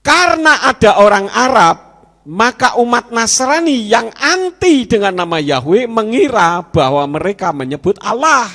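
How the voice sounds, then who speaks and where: A man's voice: high-pitched, big pitch swings, unhurried, pushed and bright. A man preaching a sermon to his congregation, his emphasis rising and dropping. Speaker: pastor. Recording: reverberant hall.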